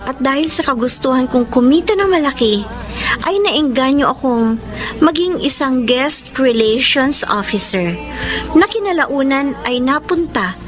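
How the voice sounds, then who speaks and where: A young Filipina heroine of a radio drama. Her voice is high and swings widely with feeling as she plays a poor, dutiful daughter. Music plays clearly behind her. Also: a little slow. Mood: sorrowful, reflective. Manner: emotive, expressive. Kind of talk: radio drama acting and first-person letter narration.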